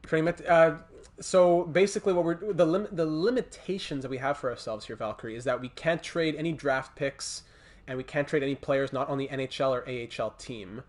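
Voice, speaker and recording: A man with a Montreal accent chatting casually on a gaming livestream, thinking aloud in a halting way as he plays and replies to viewers. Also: fairly high pitch. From Montreal, Canada. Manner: thinking aloud, halting, breaking off to reply to chat. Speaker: livestreamer hosting a hockey video game stream. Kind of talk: casual livestream chat with game commentary.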